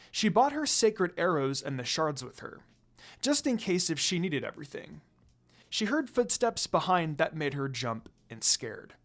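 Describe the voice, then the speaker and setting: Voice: medium pitch, a bit bright. A narrator reading a fan fiction story aloud in a dramatic storytelling style.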